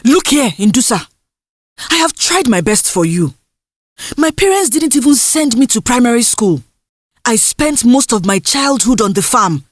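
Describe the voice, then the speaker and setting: Folktale mother, played by a woman: harsh, dismissive scold, fairly high voice, dry recording. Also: big pitch swings, normal speed, no room sound. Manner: scolding, dismissive, rhetorical questions.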